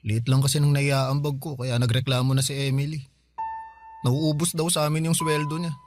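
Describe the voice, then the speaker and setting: A man's voice: mid-range voice, level tone, moderate pace, music in the background, lightly acted. An actor playing a broke young man who pleads with his older sister in a radio drama, defensive and whiny. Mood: explaining. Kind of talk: Filipino radio drama acting.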